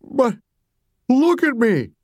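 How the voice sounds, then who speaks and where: Narrator doing weird monster mom, pitched up, pained and pleading, halting, weepy, horror audiobook. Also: expressive, a little mellow, dry recording, clean studio sound. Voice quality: strange voice. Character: monstrously transformed mother, pained, pleading, weak-willed.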